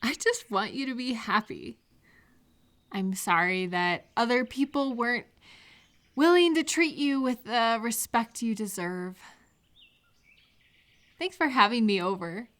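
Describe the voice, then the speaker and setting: A woman playing a supportive, gentle friend in an intimate, casual audio roleplay, speaking in a reassuring, unhurried way to the listener. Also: fairly high pitch. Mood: warm, sincere.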